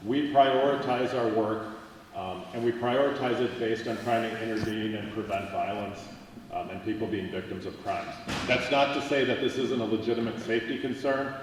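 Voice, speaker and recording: A man in his fifties, a park police chief, answering an audience question at a community meeting in a measured, matter-of-fact way. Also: lowish voice, a little hiss or hum. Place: large meeting hall. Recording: echoey hall, far from the mic.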